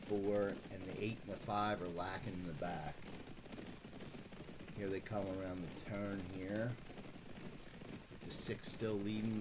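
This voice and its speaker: A man with a lowish voice calls horse races on a live stream. He talks slowly and rambles, stopping to correct himself. There is a faint hiss behind him. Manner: rambling, self-correcting, excited at finishes. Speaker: host calling horse races for a fantasy contest. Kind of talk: casual live-stream race commentary.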